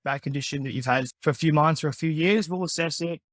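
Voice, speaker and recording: A young man, a personal trainer and osteopath answering interview questions in a relaxed, friendly way with lots of "yeah". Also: fairly high pitch, quick pace, no room sound, clean studio sound. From born in the Philippines, raised in Australia. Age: 20s. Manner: relaxed, friendly, many yeahs and restarts.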